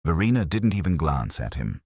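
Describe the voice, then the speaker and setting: Really deep guy narrating an audiobook story, lively intonation, dry sound. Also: brisk, no echo.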